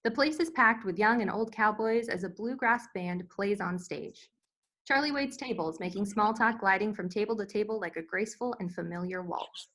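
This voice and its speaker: A woman's voice: medium pitch, moderate pace, fairly bright tone. A woman reading screenplay stage directions as scripted narration for a table read.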